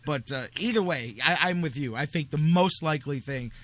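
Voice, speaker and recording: Bright, higher-voiced man talking sports. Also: moderate pace. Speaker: sports radio host. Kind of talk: casual sports talk commentary.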